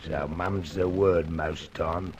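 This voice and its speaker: An actor playing a terse, suspicious underworld barman, gruff and slangy, in old-time radio detective style. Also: low voice, steady tone, slow, a little mellow, highs cut off. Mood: suspicious, probing. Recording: hissy old radio recording.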